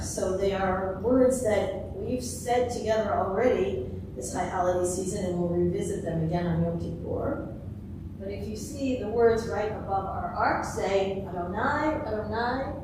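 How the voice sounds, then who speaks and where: A woman rabbi giving a thoughtful sermon, her voice a little raspy and hoarse from talking, with many ums. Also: mid-range voice, background noise. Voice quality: slightly raspy. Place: synagogue sanctuary. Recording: echoey sanctuary from far off.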